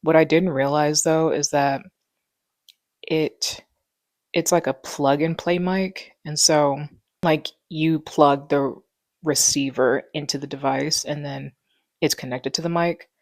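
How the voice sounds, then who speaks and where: A woman's voice: sits low, slow, dry recording, studio quality. A woman in her twenties chatting casually and rambling at home, with lots of fillers, on a clip mic. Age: 20s. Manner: relaxed rambling, many fillers. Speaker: vlogger. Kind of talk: casual chat. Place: living room at home. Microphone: wireless clip mic.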